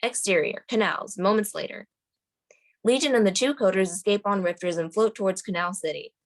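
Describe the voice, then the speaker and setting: A woman's voice: mid-range voice, brisk. A woman reading screenplay stage directions as the narrator at a table read.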